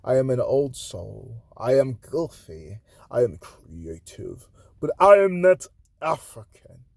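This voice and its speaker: A man reading his own spoken-word poetry at an unhurried pace, in a gravelly voice with a lively, wide-ranging pitch. He swings between loud declarations and hushed, breathy asides. The sound is close and dry. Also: no echo. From Haiti.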